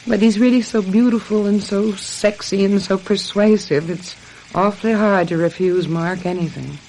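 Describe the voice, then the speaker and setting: A woman's voice: medium pitch, unhurried, darkish tone, slight background noise, natural voice, low-quality recording. A woman playing a trusting, easily swayed wife in a radio mystery drama, yielding and languid in manner. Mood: wistful, confiding. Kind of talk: radio mystery drama acting with confiding narration.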